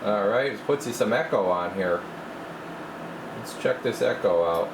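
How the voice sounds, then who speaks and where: Male technician, deep-ish fry-edged voice, informal demo narration, noticeable background hum. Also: expressive, a little slow. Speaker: radio repair technician demonstrating his work. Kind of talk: casual hands-on bench demonstration. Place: indoor radio workbench.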